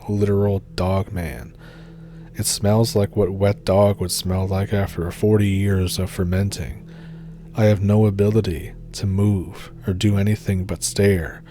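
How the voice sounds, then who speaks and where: A young man from New Brunswick with a Maritime Canadian accent, calmly reading encounter stories as a channel host. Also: fairly low pitch.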